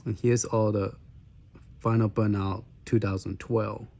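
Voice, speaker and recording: A man with a hoarse voice, walking through a gaming handheld's menus in a halting casual way, with many fillers and restarts. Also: lowish voice, little pitch movement, darkish tone. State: hoarse, clearing his throat. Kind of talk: casual walkthrough demo.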